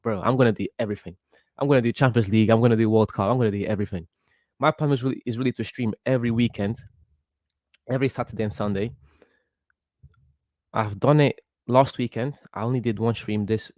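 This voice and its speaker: A young man from Portugal, about eighteen, hosting a casual football watchalong stream in an excitable, reactive way and often repeating himself. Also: on the low side, dry recording. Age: young adult, about 18. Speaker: livestream host.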